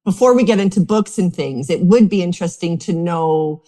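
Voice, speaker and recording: A woman from Canada with a Canadian accent, hosting a casual podcast interview, enthusiastic and quick to laugh. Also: fairly low pitch, mellow. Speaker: podcast host.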